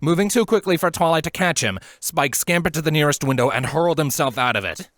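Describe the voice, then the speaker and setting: A man's voice: fairly high pitch, quick pace, a bit bright, no echo. Dramatic male story narrator, close and dry. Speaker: fan fiction reader, narrator.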